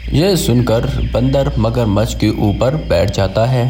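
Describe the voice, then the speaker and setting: A man's voice: medium pitch, measured pace, dark tone, a little hiss or hum. A man telling a children's moral story in a plain conversational way, voicing the dialogue within his narration.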